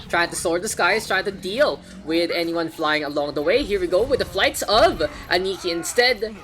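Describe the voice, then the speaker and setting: A man with a Filipino accent casting a live online game match, slightly hoarse, his play-by-play climbing louder when the action heats up. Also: high voice. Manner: rapid play-by-play, rising louder in action calls. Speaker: esports caster.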